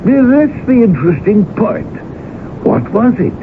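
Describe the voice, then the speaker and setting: An actor playing a boastful, genial colonel who spins tall tales in a pompous, hearty radio-drama manner. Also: male voice, high voice, sing-song, unhurried. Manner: pompous, hearty, old-fashioned clubman phrasing. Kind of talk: 1980s radio drama acting. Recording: tinny, noisy old radio broadcast recording.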